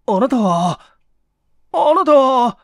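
A narrator acts out a timid man in a mystery audiobook. He is flustered, earnest and devoted, eager to help. His voice is raised high and moves around a lot. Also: very animated, slow, fairly bright tone, no room sound, studio quality, full character voice. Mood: startled, flustered. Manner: stammering, earnest. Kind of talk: audiobook character acting.

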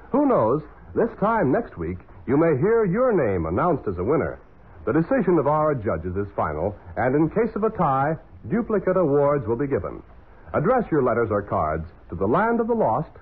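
A man's voice: fairly high pitch, husky. A man announcing a 1940s children's radio show in a scripted style. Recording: tinny, phone-like 1940s radio recording.

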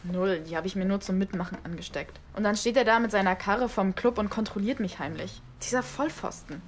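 A woman's voice: medium pitch, a little fast, a little hiss or hum, close to an everyday voice. An actress playing a feisty, defiant young woman in an audio drama, cheeky and colloquial. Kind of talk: naturalistic audio drama acting.

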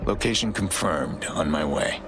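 An actor playing a terse, stoic, middle-aged American gunman in a video game cutscene, speaking in a deadpan way. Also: low voice, measured pace, background noise. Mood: focused, dry. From United States. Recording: music behind the voice.

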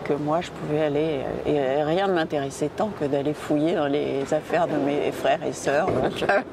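A middle-aged woman from Tunisia, answering interview questions reflectively with pauses and restarts, on an outdoor café terrace with a lapel mic. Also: low-pitched, fairly even intonation, noisy background. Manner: reflective, with frequent hesitations and restarts. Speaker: theatre director and physicist.

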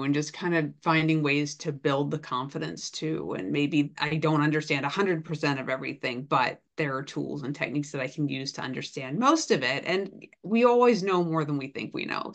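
A woman's voice: low-pitched, fairly quick, vocal fry, hardly any pauses. A woman facilitating an online panel, affirming answers and posing the next question. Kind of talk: moderating a panel.

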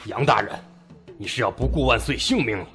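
An actor playing a grave, formal senior court minister in a Chinese period audio drama. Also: male voice, mid-range voice, expressive, fairly quick, fairly bright tone, lightly acted. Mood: reproachful. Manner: solemn, formal. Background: music.

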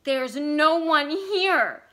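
A woman's voice: sits high, animated, extremely slow, fairly bright tone, voice pushed up, heavily acted. A woman voicing a frightened, strained wife in a story reading, emphatic and pleading. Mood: alarmed.

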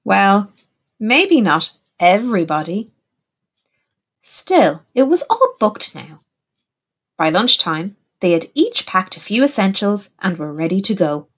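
A woman teacher reading a picture book aloud in an expressive, deliberate way for young children. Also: mid-range voice, slightly rough. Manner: animated, deliberate, puts questions to young listeners. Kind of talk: expressive storybook narration for children.

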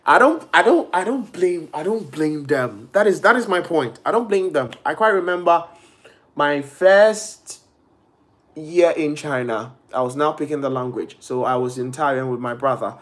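A man's voice: on the high side, normal speed. A young man from Ghana talking casually and animatedly into a webcam in a small bedroom, live-streaming to viewers. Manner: animated, gestures a lot, quotes people in shifting voices. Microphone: laptop or phone webcam at arm's length.

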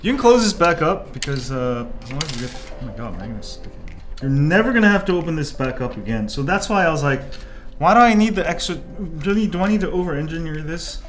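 Chatty man talking through a soldering job. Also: fairly quick. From Korea. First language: Korean. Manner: chatty, jumps into excited high exclamations and mutters asides. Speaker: 3D printing tutorial host. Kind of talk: casual hands-on tutorial. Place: indoor workbench. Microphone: off-camera, hands-only shot at workbench.

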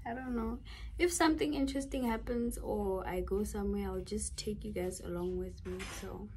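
A woman's voice: mid-range voice, normal speed, dark tone, slight background noise, poor audio. A young woman from South Africa chatting casually in a vlog, giggly and thinking aloud as she goes. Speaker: vlogger.

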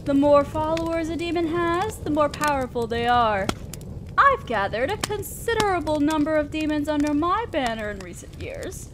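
A woman playing a haughty, teasing female demon lord in a roleplay audio drama, with a drawn-out, condescending, theatrical delivery. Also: high voice, animated. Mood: imperious. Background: crackling fire.

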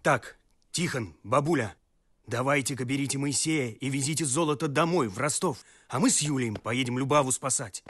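A man playing an earnest, simple-hearted young strongman hero in an animated comedy, forthright and declamatory. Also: medium pitch, normal speed, natural voice. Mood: commanding. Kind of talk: animated comedy film acting.

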